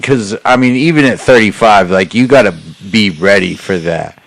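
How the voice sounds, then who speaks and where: A young man with a very deep voice, talking easily with a buddy and explaining things in a laid-back way. The mic is close and dry, with no echo around him. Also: moderate pace, creaky. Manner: laid-back, explaining, says bro and dude. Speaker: podcast host. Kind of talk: casual chat.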